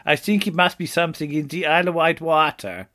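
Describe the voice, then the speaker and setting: A man voicing a pompous, fussy prince with an odd put-on accent, speaking in halting, stammering restarts and grand formal phrases in an improvised comedy sketch. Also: fairly high pitch, fairly even intonation, pushed and bright.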